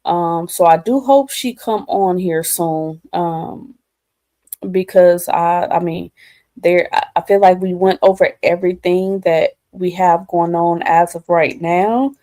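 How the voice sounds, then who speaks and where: Female American co-host, unhurried and halting, soft dark timbre, for relaxed conversation. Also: lowish voice, no echo. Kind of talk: casual chat, interviewing.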